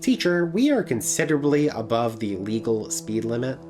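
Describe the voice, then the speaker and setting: A man's voice: mid-range voice, very animated, normal speed, music in the background, close to an everyday voice. A man voicing a polite, rule-abiding digital wrist assistant in a visual novel, with formal, precise wording. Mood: concerned. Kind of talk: character voice in a visual novel read-aloud.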